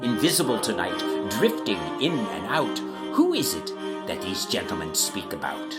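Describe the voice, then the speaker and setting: A man's voice: lowish voice, huge pitch swings, moderate pace, over loud music. Man as swooping, courteous guiding ghost. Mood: coaxing. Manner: coaxing, grandly declaiming in rhyme. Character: courteous, theatrical guiding ghost of Christmas future.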